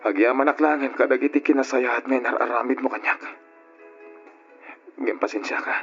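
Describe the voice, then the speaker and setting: A man playing an anguished, betrayed young man in an old-style Ilocano radio drama, with an Ilocano accent and emotional, reproachful, drawn-out delivery. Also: medium pitch, fairly even intonation, a bit bright, soft background music, lightly acted. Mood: bitter, resigned. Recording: dull, muffled radio drama recording.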